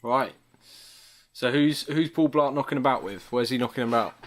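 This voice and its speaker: A man's voice: mid-range voice, normal speed. Male co-host, mid-range voice, flippant and slangy, loose bantering chat. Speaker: podcast co-host. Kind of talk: casual joking chat. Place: online video call.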